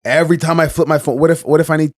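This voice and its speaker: A man co-hosting a podcast in casual chat, his voice hoarse and scratchy, still tipsy and rambling with restarts. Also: a little high, steady tone, rapid-fire, no echo, studio quality. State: still tipsy from the night before. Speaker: podcast co-host.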